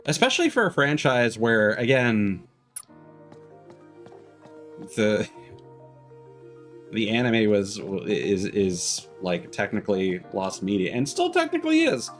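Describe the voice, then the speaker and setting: A man's voice: on the low side, huge pitch swings, slow pace, long pauses, faint music behind. A man giving casual, rambling and candid live-stream commentary as a game streamer, with a dryly critical manner.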